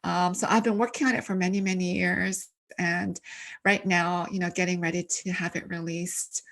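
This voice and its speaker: A middle-aged woman with a slightly low voice speaks as an interview guest on a remote call. She talks conversationally, in the personal storytelling way of an academic and author. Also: little pitch movement, normal speed, vocal fry, fairly bright tone. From Canada, born in Korea. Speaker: podcast guest, theology professor and author.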